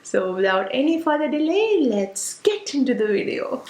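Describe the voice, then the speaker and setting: A woman's voice: high-pitched, sing-song, moderate pace, a little mellow. A woman in her late twenties with an Indian English accent, a Tamil speaker, answering viewer questions in a casual vlog, talking loosely with lots of "uh" and "like". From South India. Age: 20s. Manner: chatty, many fillers like "uh" and "like". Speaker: beauty vlogger. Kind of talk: casual Q&A to camera. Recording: roomy echo.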